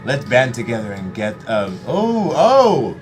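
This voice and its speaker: A man's voice: medium pitch, measured pace, music in the background. A man hosting a live stream in casual chat, playful and hyping things up. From United States. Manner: playful, animated, hypes things up. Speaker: stream host.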